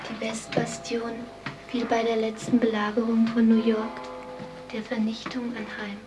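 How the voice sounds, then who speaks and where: An actress playing a ceremonious guide in a science fiction radio play, speaking in a formal, measured tour-guide manner. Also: female voice, fairly high pitch, completely flat delivery, lightly acted, low-quality recording. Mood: solemn, formal. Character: ceremonious female guide of a sci-fi pleasure house. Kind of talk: scripted radio drama acting. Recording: music behind the voice.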